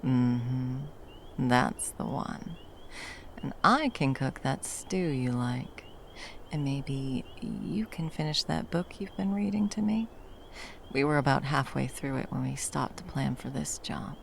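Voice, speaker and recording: Casting: actress as battle-worn female monster hunter, tender and teasing, very expressive pitch, fry-edged, intimate ASMR roleplay, faint background noise. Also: full character voice. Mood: caring, relaxed. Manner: soft teasing, slow, breathy drops into whispers. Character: battle-worn woman monster hunter, gruff but tenderly protective lover.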